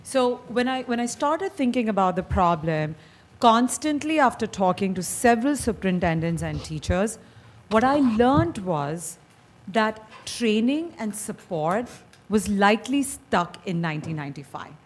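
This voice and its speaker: A woman's voice: mid-range voice, lively intonation, a little slow. A woman from India with an Indian accent, a company founder giving a persuasive presentation at a school board meeting. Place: meeting hall.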